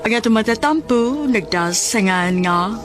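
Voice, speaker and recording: Cebuano woman announcing a radio drama, dramatic slow read, bit high, scripted. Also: animated. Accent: Cebuano Filipino. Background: music.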